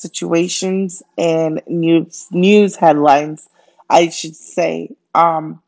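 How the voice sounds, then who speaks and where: A woman's voice: sits low, a little mellow, lo-fi sound. A young woman from Jamaica, raised in Brooklyn, with a Jamaican accent, hosting her first solo podcast in a chatty, friendly way with many fillers. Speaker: podcast host. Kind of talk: casual solo podcast monologue.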